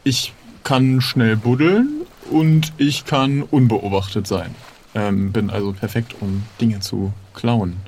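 Slightly creaky-voiced man playing an adventure game. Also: mid-range voice, takes it slowly, darkish tone, a little hiss or hum. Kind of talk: casual improvised role-play.

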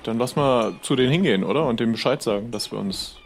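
A man's voice: mid-range voice, fast, a little hiss or hum. A man improvising casual pirate role-play dialogue as a player, chatty and somewhat hesitant.

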